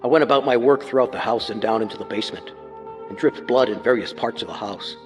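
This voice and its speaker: A man's voice: mid-range voice, fast talker, soft background music, close to an everyday voice. An actor playing a matter-of-fact man in a true-crime audio reenactment, speaking in clipped statements. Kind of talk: dramatized reenactment.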